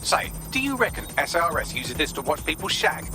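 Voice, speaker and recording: A British man playing a cheeky, sardonic, excitable AI hacker assistant in a video game, with a gleeful, sweary delivery. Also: fairly high pitch, brisk, creaky, over music. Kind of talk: video game mission dialogue.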